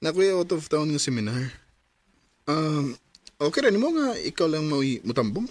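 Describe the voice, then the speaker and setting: An actor with a Cebuano Filipino accent playing a soft-spoken, amorous young husband in a radio drama. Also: male voice, on the high side, big pitch swings, moderate pace, no echo. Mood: apologetic.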